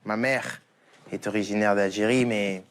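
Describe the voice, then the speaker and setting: A man's voice: fairly low pitch, steady tone, normal speed, a bit bright. A man from France giving a firm, matter-of-fact interview answer. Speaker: footballer interviewee.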